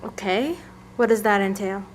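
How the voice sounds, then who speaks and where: A woman's voice: medium pitch, moderate pace, a little hiss or hum, lightly acted. An actress playing a resolute, protective young mother and leader, firm and decisive, in an audio drama. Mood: concerned, probing.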